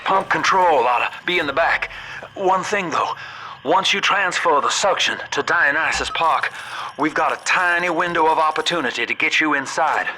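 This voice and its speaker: An actor playing a practical, plain-spoken ally who gives urgent game instructions over a radio. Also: male voice, fairly high pitch, normal speed, pushed and bright, a little hiss or hum, poor audio. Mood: urgent, steady.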